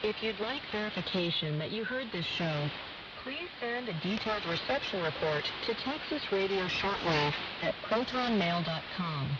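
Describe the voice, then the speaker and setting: A woman's voice: mid-range voice, expressive, normal speed. A woman radio announcer reading a scripted program sign-off, heard over shortwave radio. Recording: noisy, muffled, distant shortwave radio reception. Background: loud static and noise.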